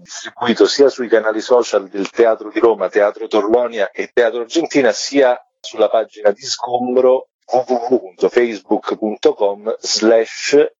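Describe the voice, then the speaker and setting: A man's voice: medium pitch, a little mellow. A man answering questions as a guest in a radio interview. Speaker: guest, theatre artist. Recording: dull, roomy phone-in sound.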